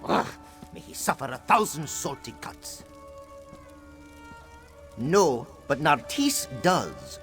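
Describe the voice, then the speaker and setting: High-pitched roguish cat-man pirate captain. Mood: indignant, scheming. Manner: theatrical, speaks of himself in the third person. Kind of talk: video game dialogue.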